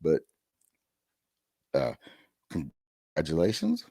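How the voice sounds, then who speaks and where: Man, hoarse, gravelly, deep voice, dry close sound, rambling wry host, live stream. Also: huge pitch swings, slow, mellow, no room sound. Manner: rambling, wry and self-deprecating.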